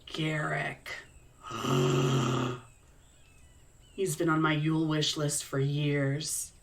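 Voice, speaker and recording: A woman plays a blunt, fight-hungry brawler with a fairly low voice and a sardonic, impatient edge. She sounds set back a little from the microphone. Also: little pitch movement, extremely slow, vocal fry, distant mic, full character voice. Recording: a little off-mic.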